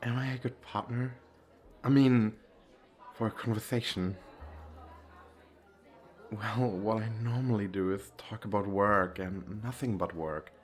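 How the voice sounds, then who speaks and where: A man's voice: fairly low pitch, measured pace, long pauses, soft background music, lightly acted. A man acting a shy, nervous, wealthy businessman on a speed date in an ASMR roleplay, talking in a hesitant, rambling, self-deprecating way. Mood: shy, earnest. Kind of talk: ASMR roleplay, intimate flirty chat.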